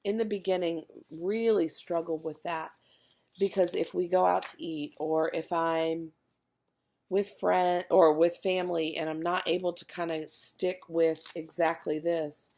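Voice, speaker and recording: Woman, casual fitness coach, hoarse and gravelly, chatty with fillers, low-ish voice. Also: fairly even intonation, a little slow, darkish tone. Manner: chatty, many fillers and restarts. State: losing her voice, cracking. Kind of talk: casual video talk to a group.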